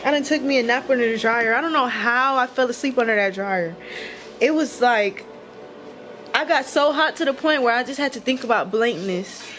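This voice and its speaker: A woman's voice: fairly high pitch, moderate pace, music in the background. Slangy playful young woman vlogger. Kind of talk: casual vlog chat to camera. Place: hair salon.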